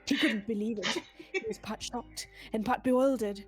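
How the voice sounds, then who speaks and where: A woman's voice: on the high side, normal speed. A woman reading a comic fan story aloud in a dramatic narrating style on a livestream. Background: light music.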